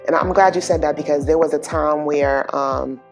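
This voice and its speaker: Low, gravelly Bronx-accented woman host. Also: steady tone, normal speed, faint music behind. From New York. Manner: frequent soft affirming murmurs. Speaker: talk show host. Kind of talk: casual interview chat.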